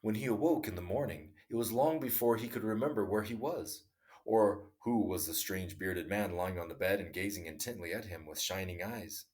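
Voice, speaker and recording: Low-voiced man reading a story aloud. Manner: steady, measured reading; casual outro to viewers. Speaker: storyteller and channel host. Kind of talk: read-aloud story narration.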